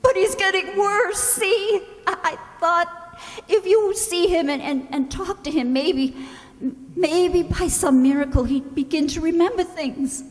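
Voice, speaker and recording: A woman playing a distraught young wife in a radio drama, anxious and halting, pleading for her sick husband. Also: very high-pitched. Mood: worried, pleading. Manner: halting, anxious, breaking off mid-sentence. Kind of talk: old-time radio drama acting. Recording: roomy hall with long reverb.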